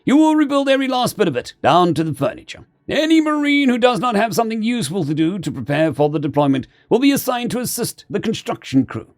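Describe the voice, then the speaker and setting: A man's voice: high-pitched, wildly swooping pitch, brisk, voice pushed up, full character voice. Man acting a barking, formidable marine commander. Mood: barking orders. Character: formidable, gruff male marine commander, aggressive and blunt.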